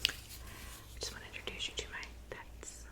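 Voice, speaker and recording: A woman playing a soft-spoken witch in an ASMR roleplay, whispering breathily and unhurriedly, as if to a client close by. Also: close to an everyday voice. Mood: gentle, attentive. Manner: unhurried, intimate, addressing the listener as a client. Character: soft-spoken witch mixing love potions in her cabin.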